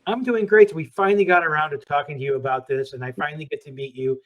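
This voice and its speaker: A middle-aged man leads an interview with an upbeat, chatty manner, his voice sitting a little higher than most men's. He asks his questions plainly and keeps the conversation moving. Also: fast, muffled recording. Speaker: host, founder of a search service.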